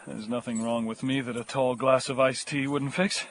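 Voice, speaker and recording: A man's voice: medium pitch, steady tone, normal speed, a little hiss or hum, close to an everyday voice. A man playing a frazzled, thirsty professor losing his mind in the desert, muttering and bursting out in an audio horror comedy. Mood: exhausted. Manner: muttering to himself, breaking into agitated outbursts.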